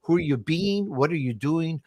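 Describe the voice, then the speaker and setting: A man's voice: on the high side, lively intonation, moderate pace, dark tone, no room sound, clean studio sound. A man speaking as an interview guest, telling his business story and giving advice in an emphatic, self-assured, conversational way. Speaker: interview guest, podcaster and entrepreneur.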